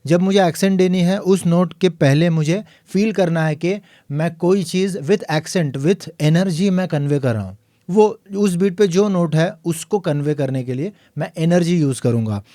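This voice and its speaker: A man teaching a piano lesson, explaining calmly and counting the beats aloud. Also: on the high side, moderate pace, no echo, studio quality. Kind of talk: tutorial lesson.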